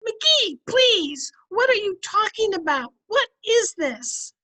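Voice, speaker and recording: A woman's voice: very high voice, very animated, takes it slowly, sharp tone, exaggerated voice. A woman playing a patient, sensible, dryly teasing middle-aged wife in a recreated 1950s radio comedy. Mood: bewildered.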